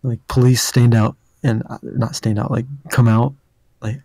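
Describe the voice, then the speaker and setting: A young man with a deep voice tells a long, rambling story, his tone warm and mellow. He talks into a dry, close mic with no room sound, and keeps dropping in casual fillers. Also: level tone, measured pace, vocal fry, no room sound. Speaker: podcast host.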